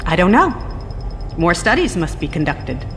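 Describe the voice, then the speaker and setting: Female scientist: lowish voice, composed and skeptical, precise formal delivery for radio drama, long reverb. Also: sing-song, moderate pace, fairly bright tone. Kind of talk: radio drama interview acting. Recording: long hall reverb, faint noise.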